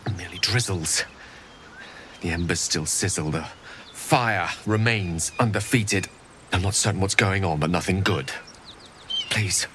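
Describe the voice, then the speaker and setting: An actor playing a grave, courteous, burdened adventurer in a video game, speaking in florid, measured phrases with a wry edge. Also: male voice, lowish voice, bright, forceful tone, a little hiss or hum. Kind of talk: video game character acting.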